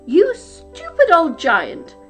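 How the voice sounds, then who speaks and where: A woman voicing a brisk, bossy giantess wife in a storybook, scolding and emphatic. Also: high voice, big pitch swings, a little mellow, faint music behind, pitched above the performer's own voice.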